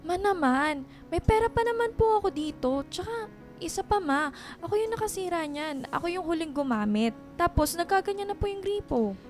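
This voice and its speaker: An actress playing a caring, apologetic young daughter in a Filipino radio drama, speaking respectfully and pleadingly. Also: female voice, extremely high pitch, animated, normal speed, a little mellow, soft background music.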